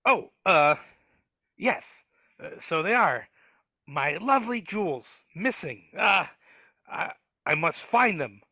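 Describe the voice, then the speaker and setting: A man voicing a nervous, cowardly dwarf servant who stammers and speaks in rough grammar, in a live reading over a video call. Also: high-pitched, expressive, takes it slowly, pauses often, sharp tone, dry recording, clean studio sound, exaggerated voice. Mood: flustered.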